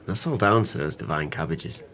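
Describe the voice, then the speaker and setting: An actor playing a jovial, tipsy, hard-up former sailor in an audio play, talking in hearty, flowery, boastful phrases. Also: male voice, rumbling low, lively intonation, normal speed, fairly bright tone, full character voice. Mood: cheerful, opinionated.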